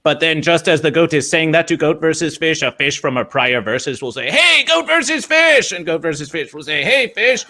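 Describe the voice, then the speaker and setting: A man's voice: on the high side, animated, moderate pace, few pauses, bright, forceful tone. A man playing a quirky third-person mascot character. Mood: storytelling. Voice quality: stilted odd grammar, constant "yes". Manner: theatrical, swooping storytelling delivery. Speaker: guest. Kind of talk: in-character livestream chat.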